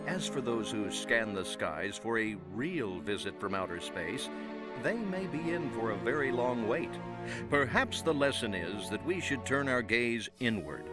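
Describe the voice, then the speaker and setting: Game narration: solemn 1950s sci-fi narrator, medium pitch, heavy score behind. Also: male voice, sing-song. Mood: portentous. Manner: portentous, measured. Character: solemn, moralizing science-fiction narrator. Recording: loud music behind the voice.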